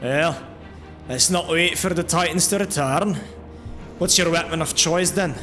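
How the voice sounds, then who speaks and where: A man voicing a gruff, blunt but good-humored dwarf combat trainer in a fantasy audio drama, with a brash, teasing manner. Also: a little high, unhurried, pushed and bright, faint music behind, voice pushed up. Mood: hearty, brisk. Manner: brash, teasing, hearty exclamations.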